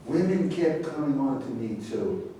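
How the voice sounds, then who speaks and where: A male actor plays a measured former celebrity in a stage play. He speaks slowly at a middle pitch. The room echoes strongly around him. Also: sing-song, dark tone. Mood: reminiscing. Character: measured, worldly former celebrity, matter-of-fact and boastful. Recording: heavy room echo, far mic, faint hiss.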